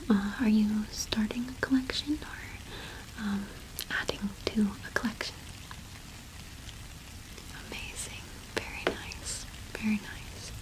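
A young woman playing a friendly, gentle cottage witch shopkeeper in a whispered ASMR roleplay, unhurried and attentive, close to the microphone. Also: a little high, rough recording. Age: young adult.

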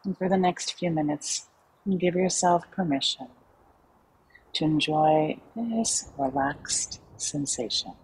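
Low-voiced woman guiding a slow meditation. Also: slight background noise, rough recording. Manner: calm, soothing, unhurried, with long pauses. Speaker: meditation guide. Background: soft background music.

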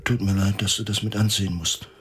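An actor in an audio drama playing a gentle condemned prisoner, a husband and father speaking in a subdued, halting, earnest way. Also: fairly low pitch, fairly even intonation, lightly acted. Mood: subdued, tender. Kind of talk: German audio drama acting.

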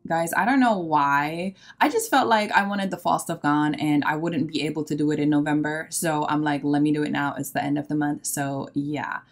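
A woman's voice: low-pitched, steady tone, normal speed, hardly any pauses. A young woman vlogger chatting casually about cleaning her living room, sounding tired and rambling with loose filler words. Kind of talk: casual chat. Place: bright home living room.

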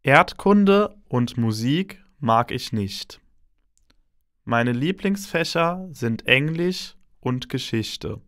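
A man reading scripted lines slowly and clearly for a language listening exam. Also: mid-range voice, expressive, a bit bright. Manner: slow and carefully enunciated. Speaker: exam recording speaker.

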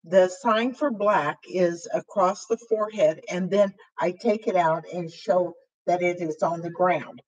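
An older woman teaching sign language, explaining signs slowly with a voice worn hoarse after surgery, filling pauses with ums. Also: lowish voice, a little mellow, lo-fi sound. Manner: slow, halting, with frequent fillers while signing. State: voice weakened and hoarse after throat intubation. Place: small room with dark curtain backdrop, indoors.

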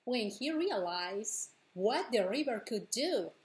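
A middle-aged woman hosting a bilingual storytime as a children's librarian, reading picture books aloud with deliberate phrasing for small children. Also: fairly high pitch, sing-song. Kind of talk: bilingual picture-book read-aloud for preschoolers. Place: living room at home, indoors.

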